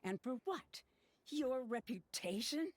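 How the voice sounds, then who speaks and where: A woman's voice: high-pitched, lively intonation, slow pace, a little mellow, full character voice. A woman playing a bitter, accusing young student in scripted video game dialogue, with a scolding manner. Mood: bitter, angry. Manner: scolding, scornful.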